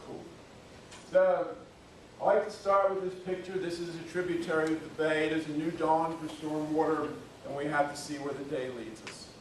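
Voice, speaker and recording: A man's voice: on the high side, fairly even intonation, normal speed, a little hiss or hum. Folksy man lecturing in echoey hall. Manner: folksy, conversational, emphatic on key points. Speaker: public works official giving a talk. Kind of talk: conference presentation to an audience. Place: university lecture room. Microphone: speaking away from the microphone. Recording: very echoey room, voice far from mic.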